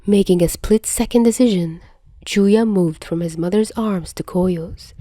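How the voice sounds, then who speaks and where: A woman reading fan fiction aloud as narrator, in an expressive audiobook style. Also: medium pitch, unhurried, dry recording.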